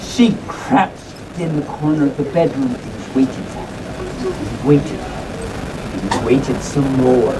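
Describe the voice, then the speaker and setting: A woman's voice: rumbling low, takes it slowly. A woman reading comic bedtime stories to a live audience, playful and often interrupting herself with chatty asides. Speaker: live storyteller. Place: hotel convention room before a live audience. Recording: distant mic, noisy audience around.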